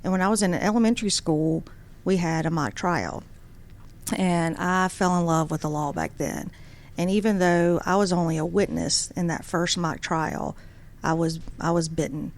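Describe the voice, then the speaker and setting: Woman in her forties, guest on a radio talk show, low-set voice, earnest and friendly storyteller. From Georgia, USA. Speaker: guest, superior court judge. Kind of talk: casual interview.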